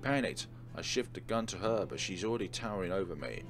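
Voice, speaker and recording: Male let's play host, lowish voice, narrating a visual novel with casual asides over game music. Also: lively intonation, quick pace, fairly bright tone. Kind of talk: dramatic read-aloud of a visual novel with casual commentary.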